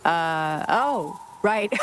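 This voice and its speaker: A woman's voice: on the low side, animated, drawn-out words, fairly bright tone, slight background noise, exaggerated voice. An actress playing a brusque, streetwise exile woman in a video game, guarded but easygoing, with a casual, curt delivery. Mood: offhand. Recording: music playing behind the voice.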